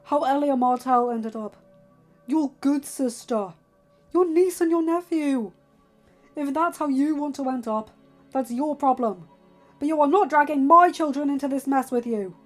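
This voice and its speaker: A woman's voice: high-pitched, measured pace, faint music behind, pitched above the performer's own voice. Woman voicing a stern, exasperated young lord. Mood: grave, insistent. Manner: forceful, emphatic, pleading with reason. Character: stern, exasperated young lord and father, protective, weary of war.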